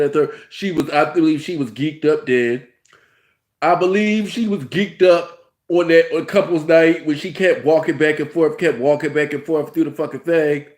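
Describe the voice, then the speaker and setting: A man in his thirties with a cold, rambling through a casual review with many fillers and restarts. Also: a little high. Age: 30s. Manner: rambling, frequent "you know" fillers, restarts. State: has a cold. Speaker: host. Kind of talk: casual reality-TV review vlog.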